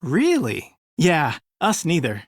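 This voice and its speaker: A man's voice: on the high side, wildly swooping pitch, takes it slowly, husky, no echo, full character voice. An earnest young man as a slightly awkward video game detective. He speaks with very varied intonation in a dry, close recording. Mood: eager, awkward.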